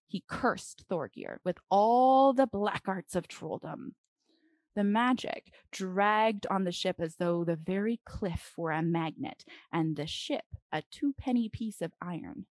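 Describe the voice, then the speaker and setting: A woman reading a children's storybook aloud dramatically, with deliberate phrasing and expressive pauses. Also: medium pitch, dry recording.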